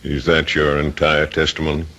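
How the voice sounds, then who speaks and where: An actor playing a formal, authoritative coroner in a radio drama, official and deliberate. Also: male voice, a bass voice, completely flat delivery, bright, forceful tone, highs cut off. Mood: stern, formal. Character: formal, authoritative coroner presiding over an inquest. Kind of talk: old-time radio drama acting.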